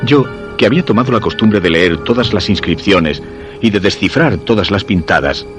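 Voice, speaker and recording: An actor from radio theatre playing an obsessed, lovelorn commuter who narrates his search in brooding, deliberate Castilian Spanish. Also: fairly low pitch, lively intonation, over music, natural voice. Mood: anxious, obsessed. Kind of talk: dramatic first-person radio theatre narration.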